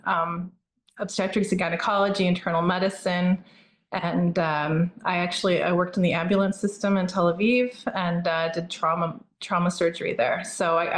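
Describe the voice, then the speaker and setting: Woman surgeon on a video call, lowish voice, roomy echo, lots of ums. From Norway. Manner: relaxed, frequent fillers and restarts. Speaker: plastic surgeon guest. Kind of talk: conversational interview answers.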